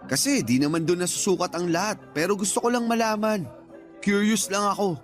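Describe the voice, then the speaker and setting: A man's voice: sits high, a little fast, soft background music, lightly acted. A man with a Filipino accent playing a blunt, protective friend in a radio drama, talking frankly and in slangy, scolding advice. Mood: curious, probing. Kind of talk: radio drama acting in casual dialogue.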